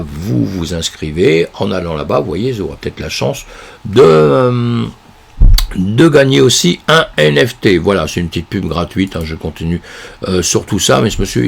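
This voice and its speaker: A man with a very low, gravelly voice, hoarse and congested from a cold. He chats loosely through a news roundup, full of fillers and restarts. His voice sounds husky and rough. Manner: rambling, with many fillers and restarts; chatty, addresses viewers as friends. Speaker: crypto news commentator. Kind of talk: casual commentary on a news roundup.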